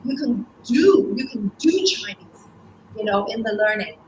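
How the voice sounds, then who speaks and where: A woman's voice: a little high, animated, unhurried, reverberant, a little hiss or hum, rough recording. A woman born and raised in Hong Kong, whose first language is Cantonese, talking fluently with frequent restarts as a school co-founder on a video call. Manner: warm, fluent with many restarts and ums. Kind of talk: interview on a livestream.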